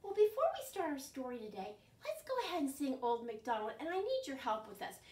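An older woman hosting storytime for young children, speaking in an animated, clearly enunciated way and asking them questions. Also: high-pitched, fairly quick. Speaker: children's storytime host at a library. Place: living room at home. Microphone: camera a few feet away. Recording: roomy sound, distant microphone.

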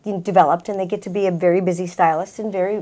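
A woman's voice: on the low side, steady tone, very fast, mellow. A middle-aged woman, a salon owner, talking conversationally as a guest in a talk-show interview. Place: small TV talk-show set indoors.

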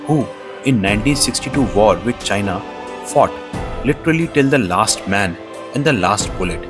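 An Indian man narrates a road-trip travel film in a calm, scripted voice-over, moving between Hindi and English. His voice sits at a middling pitch and keeps a steady storytelling flow. Music plays clearly behind him. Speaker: travel vlogger.

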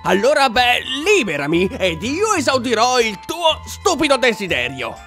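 A man's voice: very high-pitched, wildly swooping pitch, moderate pace, sharp tone, faint music behind, exaggerated voice. A man voicing a small bratty demon, boastful, theatrical and indignant, in a cartoon comedy dub. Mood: bargaining.

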